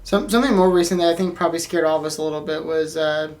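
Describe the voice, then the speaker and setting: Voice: mid-range voice, steady tone, rapid-fire, darkish tone. A podcast co-host chatting casually and informally with friends. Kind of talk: casual chat.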